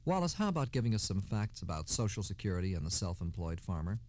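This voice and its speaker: Lively low-voiced male radio host. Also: fast talker. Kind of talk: scripted radio program hosting, 1960s.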